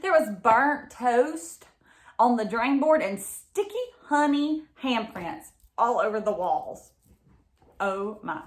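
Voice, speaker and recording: Animated high-voiced woman reading to children. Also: slow pace, echoey room. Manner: expressive, exclaiming, asks listeners questions. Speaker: read-aloud storyteller. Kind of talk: children's picture book read-aloud with asides to listeners.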